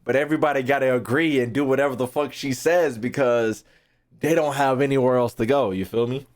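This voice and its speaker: A man's voice: medium pitch, steady tone, fairly quick. A young man giving casual, slangy live-stream commentary, swearing freely and repeating phrases as he thinks aloud. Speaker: streamer reacting to game voice lines.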